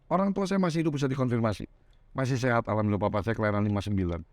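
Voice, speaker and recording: A man in his thirties from Semarang with a Javanese-tinged Indonesian accent, telling his life story as a podcast guest, animated and laughing often. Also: medium pitch, very fast, no echo. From Semarang, Central Java, Indonesia. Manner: animated, laughs often, keeps adding "Bro". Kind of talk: casual storytelling interview.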